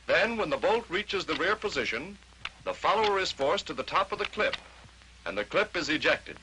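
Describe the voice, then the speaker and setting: A man's voice: on the high side, sing-song, moderate pace, sharp tone, a little hiss or hum, poor audio. An American man narrating a 1940s instructional training film, explaining step by step in short declarative statements on a vintage film soundtrack.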